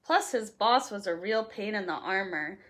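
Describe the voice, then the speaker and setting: A woman reading a rhyming children's picture book aloud in an expressive, playful, sing-song way. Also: mid-range voice, moderate pace. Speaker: storytime reader.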